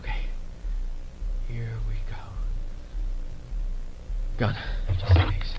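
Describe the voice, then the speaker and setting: A male actor playing a frightened researcher trapped alone and cracking under pressure, muttering in hushed, halting speech in found-footage horror style. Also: on the low side, level tone, whispered. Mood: wary, hushed. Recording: muffled lo-fi horror tape, loud noise.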